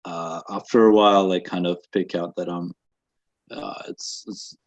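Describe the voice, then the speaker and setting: A man in his twenties from Russia, with a Russian accent softened by New Zealand, answering interview questions in a halting way with many fillers, on dull lo-fi webinar audio. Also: low-pitched, very animated, vocal fry. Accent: Russian with a slight New Zealand accent. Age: 20s. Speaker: guest.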